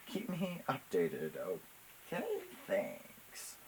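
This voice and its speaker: A man's voice: deep voice, wildly swooping pitch, drawn-out words, slightly rough, heavily acted, poor audio. A man playing a casual, unsuspecting police officer in a drama script, politely asking questions.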